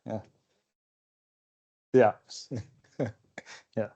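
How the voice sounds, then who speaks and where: A man's voice: drawn-out words, dark tone, lo-fi sound. A young man whose first language is not English, giving a technical seminar talk at a whiteboard in a lecture hall, casual and thinking aloud with frequent okays and fillers, on a lapel mic. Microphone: clip-on lapel mic.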